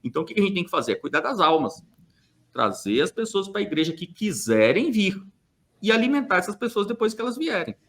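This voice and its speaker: A man's voice: high voice, big pitch swings, a little fast, fairly bright tone. A man from Brazil speaking on a church podcast, making an emphatic, argumentative case with rhetorical questions and hesitant fillers. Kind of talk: opinionated podcast monologue.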